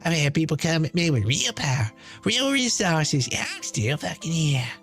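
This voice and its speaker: A man's voice: a little high, big pitch swings, moderate pace, a bit bright, faint music behind. A man voicing a brash, smug, chain-smoking woman in a dramatized monologue, sneering, profane and boastful.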